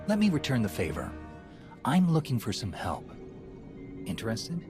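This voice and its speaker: An actor playing a calm, principled military commander in a video game, speaking in a measured, earnest way. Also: male voice, mid-range voice. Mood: diplomatic. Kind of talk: video game cutscene dialogue. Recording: background music.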